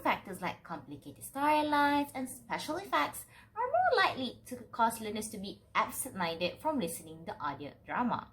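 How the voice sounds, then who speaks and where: Young woman reading out a student presentation, really high voice, super up-and-down, emphatic and formal, bit of noise. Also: fairly bright tone, rough recording. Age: young adult. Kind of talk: scripted academic presentation.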